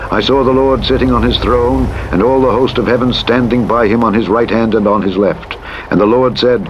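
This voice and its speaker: Deep-voiced man reading scripture over truck noise. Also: lo-fi sound. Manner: measured, formal, dramatic reading. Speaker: audiobook Bible narrator. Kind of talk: solemn scripted scripture narration. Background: truck engine and vehicle sounds from driving simulator game.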